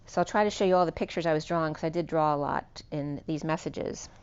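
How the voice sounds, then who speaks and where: A woman with a hoarse, fading voice giving an unscripted spiritual tarot reading, meandering and correcting herself as she lists cards and numbers. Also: deep voice, fairly even intonation, fast talker, darkish tone, lo-fi sound. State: losing her voice. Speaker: tarot reader.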